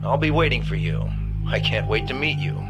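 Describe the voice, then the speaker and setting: An actor playing a controlled, calculating intelligence agency boss in a video game, measured and authoritative. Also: male voice, medium pitch, expressive, close to an everyday voice. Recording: music behind the voice.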